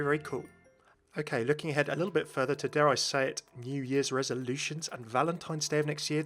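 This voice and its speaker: A man's voice: medium pitch, a bit bright. A man hosting informal scripted video game commentary. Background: faint background music.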